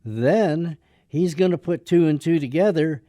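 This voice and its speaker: A man's voice: fairly high pitch, unhurried, warm tone, dry recording, studio quality, close to an everyday voice. A man playing a decent, wry and somewhat hesitant young man in a radio drama. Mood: gloomy, wry. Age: young adult. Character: decent, gloomy young man under his father's thumb. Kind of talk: radio drama acting and narration.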